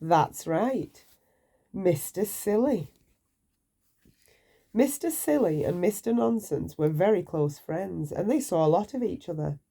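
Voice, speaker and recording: A woman storyteller reads aloud for children at an unhurried pace. Her voice is mellow and a touch low, with animated, playful intonation. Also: gravelly, slightly rough. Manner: playful, asks the listener questions. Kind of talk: read-aloud bedtime story narration.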